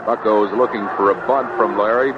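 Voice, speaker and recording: American baseball announcer, noisy old radio. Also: male voice, lowish voice, steady tone, brisk. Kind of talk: live sports commentary. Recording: noisy, dull 1970s radio broadcast tape.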